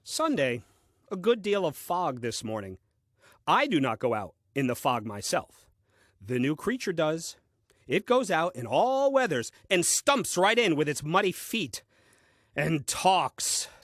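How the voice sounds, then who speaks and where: An actor playing a grumbling, solitary first man reading out his diary in a comic audio play, with a dry, deliberate manner. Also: on the high side, very animated, fairly bright tone, no room sound. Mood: exasperated, dry.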